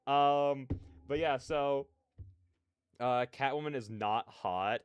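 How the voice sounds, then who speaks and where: A man's voice: medium pitch, slow pace, smooth, no echo. A man hosting a livestream, chatting casually in a rambling, slangy, digressive way. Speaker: livestreamer hosting a reaction stream.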